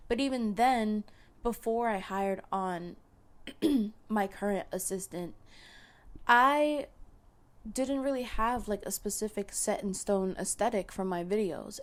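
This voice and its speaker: Hoarse young woman chatting casually. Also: mid-range voice, a little slow. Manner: rambling, lots of "like" and restarts. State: losing her voice, hoarse. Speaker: content creator. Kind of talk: casual chat to camera.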